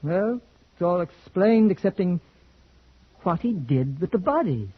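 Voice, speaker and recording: A resolute male hunter speaks in a high-set, rough-edged voice. He is earnest, clipped and theatrical, as in 1940s radio plays. The recording is dull with age. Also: sing-song, measured pace, full character voice. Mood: sober, reflective. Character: resolute male rifleman, terse hunting companion. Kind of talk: 1940s radio drama acting.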